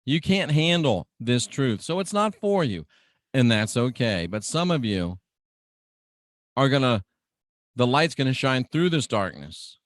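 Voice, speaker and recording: Slow, deliberate male radio preacher. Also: mid-range voice, big pitch swings, dry recording, studio quality. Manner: dramatic, deliberate, repeats phrases for emphasis. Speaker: radio preacher and show host. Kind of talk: emphatic teaching sermon for radio.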